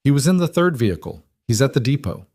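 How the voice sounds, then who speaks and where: A man voicing a brisk, matter-of-fact security staffer in an audiobook, factual and clipped. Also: mid-range voice, very animated, darkish tone, dry recording, pitched above the performer's own voice. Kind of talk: audiobook character dialogue.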